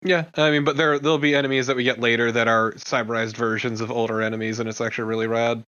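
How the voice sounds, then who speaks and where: A man's voice: mid-range voice, flat delivery, fast, pushed and bright, smooth, highs cut off. A man co-commentating on a video game in a blunt, opinionated, casual way. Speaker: let's play co-commentator.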